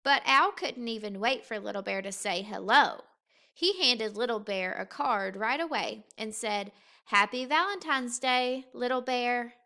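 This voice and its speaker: A woman reading a children's story aloud in an expressive, unhurried, deliberate way. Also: mid-range voice, vocal fry. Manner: unhurried, expressive, deliberate phrasing for young listeners. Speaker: teacher reading a picture book aloud.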